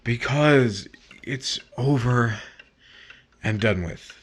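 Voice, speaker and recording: An actor playing a brooding, misunderstood husband, wounded and jealous, with hesitant delivery in a 1950s-style radio romance. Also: male voice, medium pitch, drawn-out words, breathy edge. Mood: brooding, resigned.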